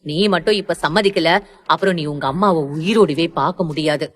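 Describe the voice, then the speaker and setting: A woman's voice: low voice, fairly quick. A woman playing a stern, domineering middle-aged mother in an audio story, scolding and threatening with emphatic delivery. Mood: menacing. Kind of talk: Tamil audio story acting.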